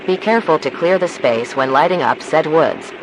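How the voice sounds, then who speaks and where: Casting: man acting as a calm, formal emergency alert announcer, slightly high-pitched, measured delivery, clearly noisy recording. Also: lightly acted. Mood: grave, instructive. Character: calm, formal male emergency broadcast announcer in a disaster scenario.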